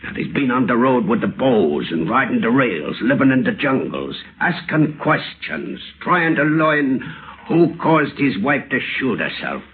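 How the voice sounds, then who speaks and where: An actor playing an uneducated, crooked drifter in an old-time radio thriller, with rough, slangy, ungrammatical speech. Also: male voice, medium pitch, sing-song, a little slow. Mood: nervous, confiding. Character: uneducated crooked drifter, boastful drunk turning terrified. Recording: scratchy, tinny old radio recording.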